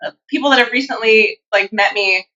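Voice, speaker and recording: Young woman, bright voice, casual chatty guest, dull lo-fi podcast sound. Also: a little high, fairly quick. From California. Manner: chatty, many fillers and restarts. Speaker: podcast guest, natural bodybuilder. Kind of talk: casual interview chat.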